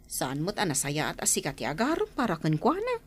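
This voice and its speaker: A woman's voice: on the low side, huge pitch swings, a little fast, a little hiss or hum. A woman with a Filipino accent playing an exasperated, scolding middle-aged aunt in a radio drama, complaining emphatically. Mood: resigned, scolding.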